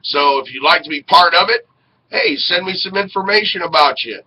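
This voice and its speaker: A man's voice: on the high side, animated, normal speed. A middle-aged man hosting a web radio show in casual, folksy, effusive talk to the camera on a headset. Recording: dull lo-fi webcam audio with noise.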